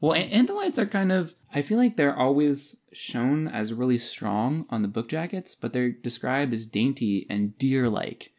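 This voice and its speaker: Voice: medium pitch, animated, moderate pace, few pauses, darkish tone, dull audio. A podcast co-host chatting casually about books, retelling scenes in informal, slangy language. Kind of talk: casual book discussion.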